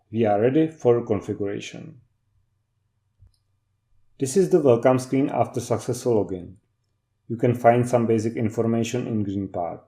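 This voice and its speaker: Man with a very dark, mellow voice. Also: medium pitch. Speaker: technical trainer. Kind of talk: scripted instructional narration.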